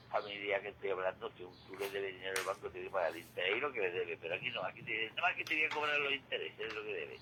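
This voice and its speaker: Emphatic Andalusian man with a bright, forceful voice. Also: deep voice, slight background noise, rough recording. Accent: Andalusian Spanish. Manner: emphatic, didactic, repeats key figures. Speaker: radio commentator on current affairs. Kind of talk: conversational economic commentary. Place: local radio studio.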